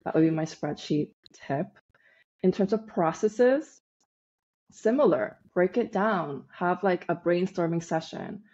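Low husky woman on a dull line. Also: animated, measured pace. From Peru. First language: Spanish. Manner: warm, easygoing, laughs readily. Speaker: guest, online business manager. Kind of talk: casual interview chat. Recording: dull lo-fi sound.

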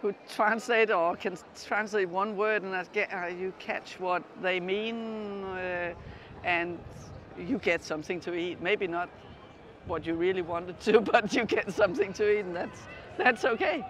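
A woman from Denmark in her fifties speaks thoughtfully in an outdoor square, with a fairly low voice for a woman. Her lapel mic picks up some faint street noise around her. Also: measured pace. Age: 50s. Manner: reflective, laughs while talking. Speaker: interviewed pilgrim. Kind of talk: casual street interview.

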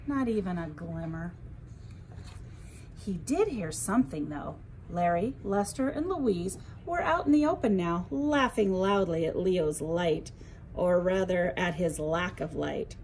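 A woman's voice: medium pitch, warm tone, a little hiss or hum, low-quality recording. A woman narrating a children's bedtime story expressively, as a librarian would at storytime.

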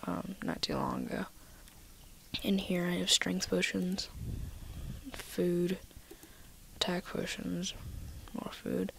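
Soft-spoken young woman with a cold. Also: lowish voice, wildly swooping pitch, slow pace, gravelly, slight background noise. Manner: soft, half-whispered listing. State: slightly nasal from a cold. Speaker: gaming video maker. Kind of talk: casual game walkthrough.

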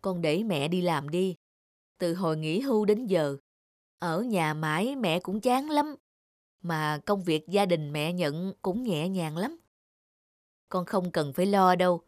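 A woman voicing a gentle, forgiving retired mother who reassures patiently in a cartoon drama. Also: fairly low pitch, lively intonation, a little slow, no room sound, clean studio sound. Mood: reassuring. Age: older.

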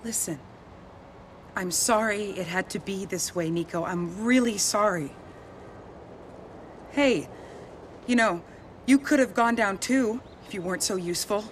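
A composed young American woman acted for a video game, an undercover agent with a coolly apologetic manner. Her pitch is average for a woman, and faint noise sits behind her voice. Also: measured pace, long pauses, close to an everyday voice. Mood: firm, regretful. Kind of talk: video game cutscene acting.

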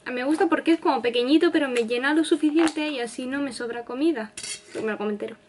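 A young woman with a Castilian Spanish accent chatting casually and effusively to camera in a small apartment. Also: sits high. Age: young adult. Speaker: lifestyle vlogger. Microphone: handheld camera or camera on desk, close range.

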